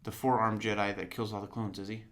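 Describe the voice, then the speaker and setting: A man co-hosting a podcast in casual, joking chat, his voice a bit raspy from yelling. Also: lowish voice, level tone, quick pace. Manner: enthusiastic, joking. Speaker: podcast co-host.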